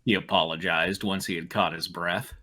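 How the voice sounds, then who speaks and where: A man's voice: low voice, fairly even intonation, normal speed, pushed and bright. A man narrating a story as a scripted reading, with casual comic asides.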